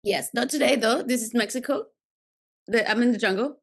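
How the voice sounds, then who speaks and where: A woman from Colombia with a Colombian accent, a podcast guest talking casually about her moves between countries, lively and quick to laugh. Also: a little high, a little hiss or hum. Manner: lively, laughs often, talks in quick fragments. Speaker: podcast guest, business founder. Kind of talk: casual interview chat. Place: remote call from a jungle location.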